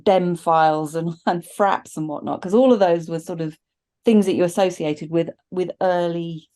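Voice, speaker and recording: Mellow-voiced middle-aged woman, enthusiastic. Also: fairly low pitch, fairly even intonation, normal speed. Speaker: film review panelist. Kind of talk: casual review chat. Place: indoors on a video call.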